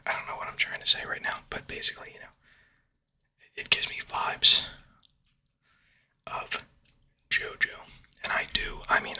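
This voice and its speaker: A man making ASMR in a breathy whisper, rambling casually to his viewers and often repeating short phrases over and over. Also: bright, forceful tone. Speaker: ASMR video creator.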